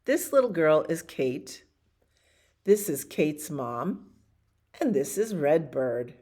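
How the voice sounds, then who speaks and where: Lady doing kids bedtime storytime, deep for a woman, real slow pace, chatty little asides. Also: sing-song, dark tone. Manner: unhurried, chatty asides and questions to children. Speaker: library storyteller. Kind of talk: bedtime story time for young children.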